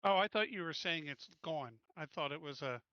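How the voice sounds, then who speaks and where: A male tabletop player talks casually in a sharp, somewhat high voice. The recording is dry, close and a bit dull. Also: animated, normal speed, clear, resonant tone, dry recording. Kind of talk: casual game-table chat.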